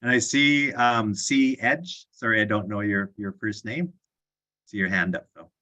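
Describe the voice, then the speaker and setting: A man's voice: lowish voice, steady tone, measured pace, sharp tone, highs cut off. A man moderating an online session, affirming and reflective, often repeating words as he thinks.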